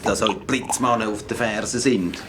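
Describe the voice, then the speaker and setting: An actor with a Swiss German accent playing Arthur, a lively, welcoming grown man in a children's radio play. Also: lowish voice, normal speed, noisy background, close to an everyday voice. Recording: roomy sound with background ambience.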